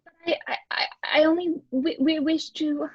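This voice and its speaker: An actress plays a meek British boarding-house landlady in an old-time radio drama. Her voice is very high and hushed, and she stammers deferentially. The sound is dry and a little dull. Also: fairly even intonation, moderate pace, dry recording. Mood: timid, eager. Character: timid, eager-to-please married landlady in an old British lodging house.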